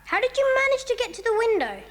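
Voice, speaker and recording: A woman's voice: very high voice, big pitch swings, quick pace, a bit bright, exaggerated voice. A woman playing a polite, capable English schoolboy who takes charge in a children's audio drama. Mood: curious. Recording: long reverberant hall sound.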